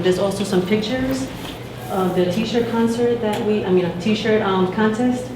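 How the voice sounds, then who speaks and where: Woman reporting with many fillers. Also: medium pitch. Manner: nervous, many fillers and restarts. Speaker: student association secretary giving a report. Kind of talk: informal report to a meeting. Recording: echoey room with noticeable noise.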